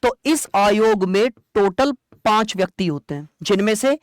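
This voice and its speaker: A teacher delivers an exam-prep lecture in a conversational, explanatory way at a medium pitch. Questions are posed and then answered, with key points repeated for understanding. The sound is dry, with very little room echo. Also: expressive, moderate pace. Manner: asks rhetorical questions and answers them.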